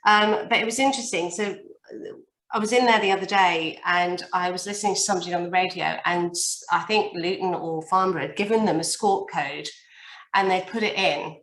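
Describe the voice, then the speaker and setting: A woman student speaks in an average-pitched voice, chatty and a little hesitant. She sounds away from the microphone in a very echoey room, talking casually and in earnest. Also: fairly quick, fairly bright tone. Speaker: student helicopter pilot. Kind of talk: casual question in a group class. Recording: echoey room, distant from mic.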